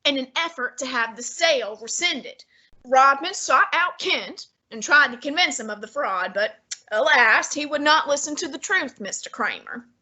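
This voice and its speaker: A woman playing a pompous male genius detective, using precise and measured diction in a student radio drama. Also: sits high, expressive, sharp tone, exaggerated voice. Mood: deducing. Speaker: student actor.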